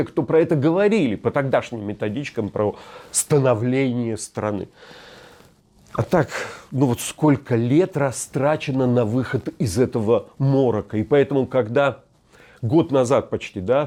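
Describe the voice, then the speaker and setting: A man's voice: medium pitch, dark tone. A man in his fifties hosting a scripted commentary monologue from a hotel room, wry, ironic and reflective. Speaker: host.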